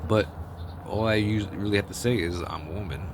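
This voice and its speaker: A man with a deep bass voice giving a blunt, profane, rambling opinion monologue with many restarts. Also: a little fast. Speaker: vlogger. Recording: outdoor street noise with passing vehicles.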